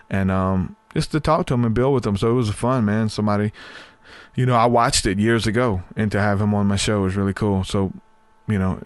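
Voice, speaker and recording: A man's voice: on the low side, no room sound. A man in his thirties hosting a podcast, chatting casually and rambling, his voice tired from long talking. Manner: rambling, repeats words, many fillers. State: voice getting tired. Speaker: podcast host.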